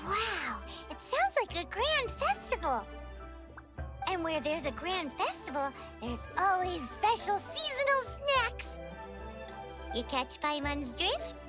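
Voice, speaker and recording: A woman voicing a tiny, excitable fairy girl companion in a video game, squeaky and childlike, speaking of herself in the third person. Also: takes it slowly, heavily acted. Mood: curious, eager. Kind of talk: animated video game voice acting. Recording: music behind the voice.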